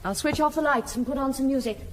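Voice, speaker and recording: An actress playing a composed woman spirit medium in a British radio drama, giving formal, measured instructions. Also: sits high, slight background noise, lightly acted. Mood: calm, commanding.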